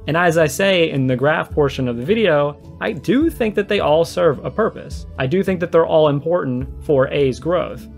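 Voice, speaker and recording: Guy with kinda high voice doing response vid commentary, emphatic and argumentative, music in the back. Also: moderate pace, vocal fry, few pauses. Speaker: video essayist responding to another creator. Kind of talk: animated commentary in a response video.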